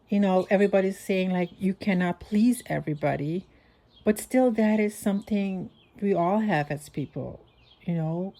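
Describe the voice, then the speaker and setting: Rambling woman, mellow voice. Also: mid-range voice. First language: Dutch. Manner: rambling, thinking aloud with many restarts. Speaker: podcast host. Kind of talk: casual voice-note monologue.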